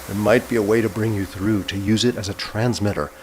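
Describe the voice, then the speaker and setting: An actor playing a desperate, devoted inventor hero in a retro science fiction radio drama, with earnest dramatic delivery. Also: male voice, on the low side, steady tone, a little fast, slight background noise, natural voice. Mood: urgent.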